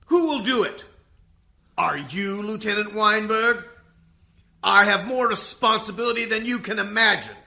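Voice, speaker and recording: A man's voice: high-pitched, gravelly, exaggerated voice. A man playing a righteous, contemptuous military colonel in an amateur radio comedy, haranguing with heavy emphasis. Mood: contemptuous, indignant. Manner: haranguing, emphatic, swings into shouting. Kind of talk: amateur radio comedy parody of courtroom film drama.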